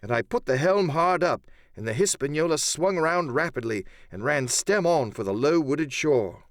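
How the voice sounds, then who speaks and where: Animated man narrating an adventure audiobook. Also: fairly high pitch, moderate pace, no room sound, studio quality. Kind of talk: dramatic scripted first-person narration.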